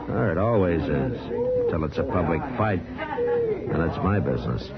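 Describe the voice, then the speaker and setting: An actor playing a stern but fair American frontier marshal in an old radio western, plain-spoken and authoritative. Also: male voice, low voice, expressive, moderate pace. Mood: firm, fatherly. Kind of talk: 1950s radio western acting. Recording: muffled old radio recording, crowd noise.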